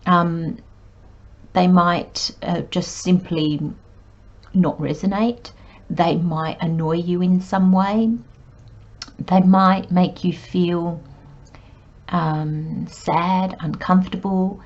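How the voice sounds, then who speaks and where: Croaky lady doing a tarot reading, slow rambly delivery, kinda muffled dull audio. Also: on the low side, fairly even intonation, a little mellow. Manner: unhurried, meandering with many pauses and fillers. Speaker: tarot reader. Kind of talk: spoken tarot reading monologue.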